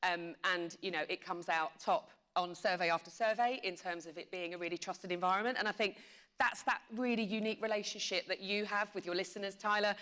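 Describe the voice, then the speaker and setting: A woman giving measured, explanatory answers in a panel discussion. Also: mid-range voice, fairly even intonation, fairly bright tone. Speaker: media company panelist.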